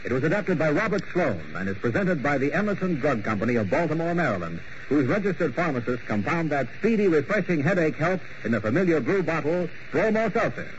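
A man's voice: on the high side, very animated, a little fast, few pauses, a little hiss or hum. A male host of a 1940s horror radio show, introducing with mock-genial macabre puns. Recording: muffled old radio broadcast with music.

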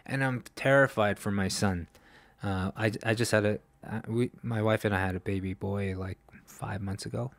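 A man's voice: lowish voice, steady tone, normal speed, vocal fry, no room sound. A man co-hosting a casual podcast, laid-back and self-mocking, laughing often. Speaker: podcast co-host. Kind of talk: casual chat.

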